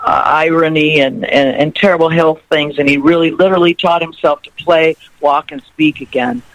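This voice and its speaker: A musician guest talking in a rambling, laugh-filled way during a radio interview. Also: male voice, on the high side, normal speed, gravelly, no echo, poor audio. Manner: rambling, laughs often, warm storytelling. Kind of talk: interview chat.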